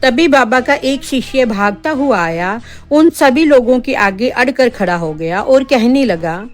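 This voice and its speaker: A woman's voice: on the high side, expressive, measured pace. A woman narrating a scripted spooky tale for children as a storyteller. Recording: background music under the voice.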